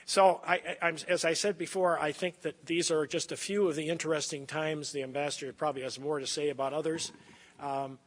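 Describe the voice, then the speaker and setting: Guy introducing a lecturer, kinda high voice, halting delivery, lots of hall reverb. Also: flat delivery. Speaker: event host introducing a speaker. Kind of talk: informal spoken introduction at a lecture. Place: large indoor lecture hall.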